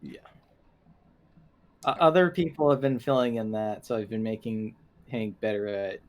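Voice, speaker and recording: A man's voice: lowish voice, steady tone, moderate pace. A man playing a tabletop game, chatting casually and repeating words while he thinks.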